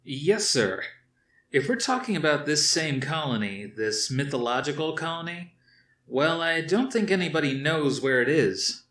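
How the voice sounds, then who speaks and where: A man's voice: fairly high pitch, lively intonation, moderate pace, fairly bright tone, roomy sound, far from the mic, pitched above the performer's own voice. A man reading aloud, voicing a frank young male pilot lieutenant raising a doubt to his commander. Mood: doubtful.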